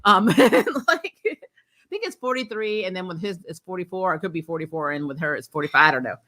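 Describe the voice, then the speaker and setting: A woman's voice: fairly low pitch, animated, brisk, bright, forceful tone. A woman hosting a casual, chatty TV recap livestream in an informal, giggly, rambling way, often correcting herself. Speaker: host of a TV show recap livestream. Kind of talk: casual chatty episode recap with acted-out quotes.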